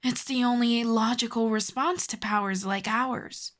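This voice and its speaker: A woman playing a telepathic villain in an intimate roleplay audio drama, cool and commanding but grudgingly tender, with measured, dry, hushed delivery. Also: a little high, fairly even intonation. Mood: incredulous, dry.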